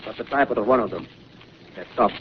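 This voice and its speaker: An actor playing a tense army lookout, giving short clipped reports in a 1930s radio drama. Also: male voice, mid-range voice, quick pace, lightly acted. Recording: muffled, noisy 1930s radio broadcast.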